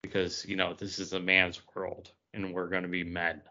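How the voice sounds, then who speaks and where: A man's voice: low-pitched, monotone, normal speed, pushed and bright, dull audio. A man co-hosting a podcast, talking casually and giving his opinions on what he reviews. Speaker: podcast co-host reviewing comic books.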